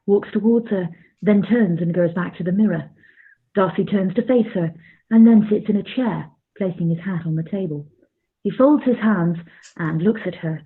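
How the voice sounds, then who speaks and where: A woman's voice: mid-range voice, breathy edge. A woman narrator reading scripted stage directions for a radio play. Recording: thin phone-like sound.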